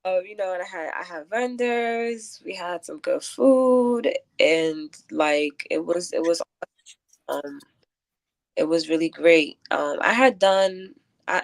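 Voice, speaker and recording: A woman talking earnestly and reflectively as a guest in a casual conversational interview. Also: lowish voice. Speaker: interview guest, event curator and poet.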